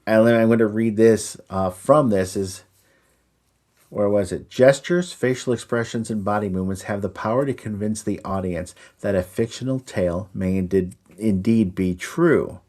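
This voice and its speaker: Low-voiced man explaining deliberately. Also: darkish tone. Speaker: podcast co-host and Christmas performer. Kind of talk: instructional discussion on storytelling.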